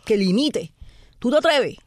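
A woman, an actress and impressionist, telling stories in an interview in an animated way and slipping into voices. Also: on the high side, normal speed, no echo, clean studio sound. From Puerto Rico. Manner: animated, slips into voices, many tags like "¿sabes?". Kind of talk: lively storytelling in a chat interview.

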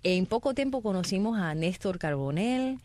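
Earnest actress. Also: female voice, medium pitch, animated, moderate pace, a little mellow, dry recording. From Cuba. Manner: earnest, enthusiastic. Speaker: actress, guest.

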